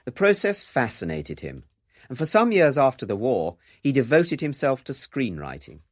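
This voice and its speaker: A man's voice: mid-range voice, wildly swooping pitch, moderate pace, no echo. A man presenting a documentary in scripted narration.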